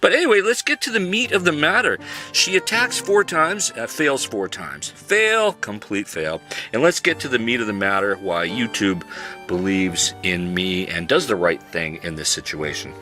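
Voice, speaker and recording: A man's voice: fairly low pitch, big pitch swings, moderate pace, sharp tone, soft background music. A man from Canada giving a sarcastic, chuckling commentary monologue as a channel host. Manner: sarcastic, chuckling, mock-polite.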